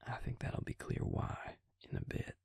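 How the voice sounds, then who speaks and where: A man playing a patient, grave vampire in an intimate audio roleplay, speaking husky, breathy and low, with hesitant, deliberate pauses. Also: a little mellow, no room sound, whispered, studio quality, lightly acted. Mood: hushed, cautious. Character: male vampire, patient, grave, quietly explaining lore.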